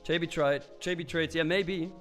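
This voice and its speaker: A man's voice: on the high side, steady tone, normal speed, fairly bright tone, soft background music. A man hosting a live stream, chatting loosely and candidly as he answers viewers' comments, with frequent fillers and the odd curse. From Switzerland. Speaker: live trading stream host.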